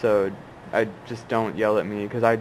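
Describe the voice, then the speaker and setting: A man's voice: lowish voice, level tone, normal speed, a little hiss or hum, poor audio. A man co-hosting a podcast in casual chat, cursing freely and often murmuring agreement. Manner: casual, swears freely, lots of backchannel agreement. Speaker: podcast co-host.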